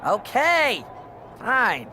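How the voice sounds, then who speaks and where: A whiny, sarcastic captive in a video game cutscene. His sharp voice jumps around in pitch with self-pity, over faint background noise. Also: huge pitch swings, extremely slow, exaggerated voice. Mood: grudging.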